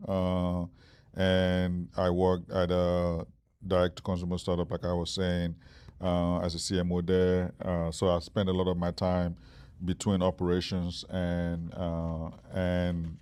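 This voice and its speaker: Nigerian man, 40s, low monotone voice, founder guest, halting speech with lots of fillers. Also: clear, resonant tone. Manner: hesitant, many fillers and restarts. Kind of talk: interview conversation.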